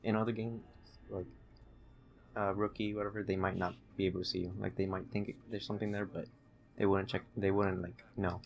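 A man's voice: low voice, little pitch movement, a little fast, creaky. A young man giving casual, rambling, hesitant commentary as he hosts a gameplay video. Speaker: gaming video host.

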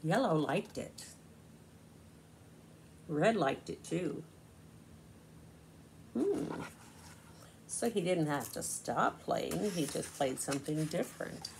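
A woman in her sixties reading a picture book aloud to young children, deliberate and expressive, pausing to explain. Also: low voice, vocal fry. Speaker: children's storybook reader. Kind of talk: read-aloud storytelling to young children with asides. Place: small living room, indoors. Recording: noisy amateur home recording.

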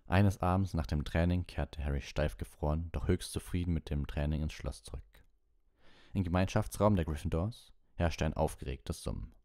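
A man with a low, dark, relaxed voice reads in the style of a bedtime audiobook livestream. The sound is very dry and close. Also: normal speed, no room sound. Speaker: audiobook reader and livestream host. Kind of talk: bedtime audiobook narration and soft sign-off.

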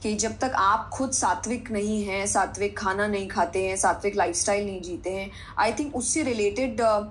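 A woman speaking earnestly and in a measured way in a talk clip played from a laptop. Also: mid-range voice, a little hiss or hum.

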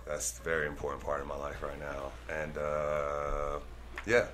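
Laid-back deep-voiced man telling stories. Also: little pitch movement, normal speed, a bit bright, slight background noise. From Denver, Colorado, United States. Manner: laid-back storytelling, laughs easily. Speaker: guest DJ. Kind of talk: casual podcast chat. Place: small studio room crowded with posters. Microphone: desk microphone on a stand.

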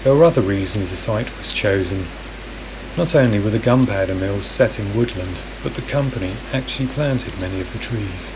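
Guy doing calm measured voice-over narration, lowish voice, clear hiss and a rushing stream under it. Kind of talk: scripted documentary narration. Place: voice-over, recorded apart from the woodland footage.

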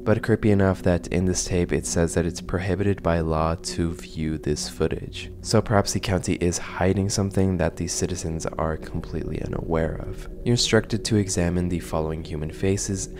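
A man's voice: deep voice, fairly even intonation, normal speed, few pauses. A young adult man giving scripted narration and commentary as a video host, close to a desk microphone in a small room at home. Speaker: host of horror video essay channel. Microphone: large desk microphone, close. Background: music.

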